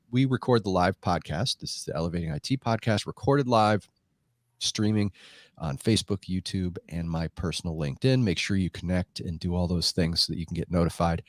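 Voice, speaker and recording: A man's voice: deep voice, no room sound, clean studio sound. A man hosting a live podcast with a congested, stuffy voice from a head cold, talking in a chatty, rambling way. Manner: chatty, rambling, self-interrupting. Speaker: podcast host. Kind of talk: casual live podcast chat. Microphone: desk microphone on a live stream.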